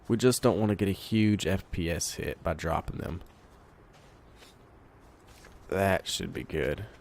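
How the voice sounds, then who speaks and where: Deep-voiced congested man playing a game. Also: a little hiss or hum. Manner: relaxed, thinking aloud while playing. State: congested from a cold. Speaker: gamer. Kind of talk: casual let's play commentary.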